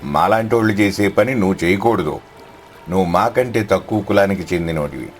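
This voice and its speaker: A man's voice: low-pitched, a little fast, soft background music, lightly acted. A man voicing a haughty village fisherman in a moral story, scolding and domineering. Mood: angry, scornful.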